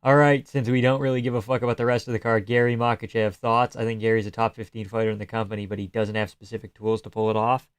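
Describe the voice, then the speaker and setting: Casual Canadian streamer, clean and dry. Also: male voice, on the low side, flat delivery, fast, few pauses, clear, resonant tone, no room sound. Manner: casual, sarcastic, swears freely. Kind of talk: live stream fight chat reading viewer comments.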